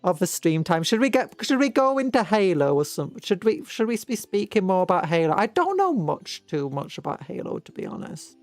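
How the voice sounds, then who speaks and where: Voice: mid-range voice, big pitch swings, fairly quick, few pauses, dry recording. A British livestream host chatting casually in a rambling, hesitant way, often trailing off and restarting. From Britain. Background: music.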